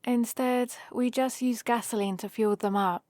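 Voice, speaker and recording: A woman's voice: fairly high pitch, fairly even intonation, normal speed, a little mellow, no room sound, studio quality, natural voice. A woman playing a friendly, teasing human heroine in an ASMR roleplay, explaining things casually and patiently to the listener. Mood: playful, friendly. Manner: casual, playful, explanatory rambling. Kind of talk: ASMR roleplay, one-sided conversation with the listener.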